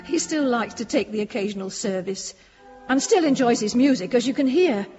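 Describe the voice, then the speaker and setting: An actress playing a fussy, deferential, pious church housekeeper in a period radio drama, polite and easily flustered. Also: female voice, fairly high pitch, animated, brisk, dark tone, soft background music, lightly acted. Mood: chatty, deferential. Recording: reverberant church, slightly dull sound.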